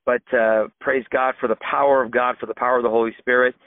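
A man in his fifties, originally from Iran, tells his story in a steady, reflective manner. His voice is dry and close, and it comes through a thin, tinny phone line. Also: medium pitch, fast, no echo. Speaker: guest. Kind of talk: personal testimony.

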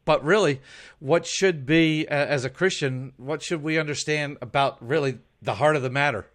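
A man's voice: fairly high pitch, normal speed, a bit bright. A man hosting a radio interview who encourages his guest with frequent short affirmations. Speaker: radio host.

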